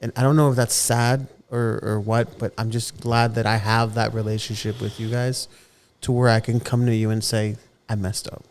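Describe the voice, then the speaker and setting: A man's voice: medium pitch, flat delivery, moderate pace. Earnest man in his thirties, casual chat. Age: 30s. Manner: earnest, repeats words as he gathers his thoughts. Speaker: podcast co-host.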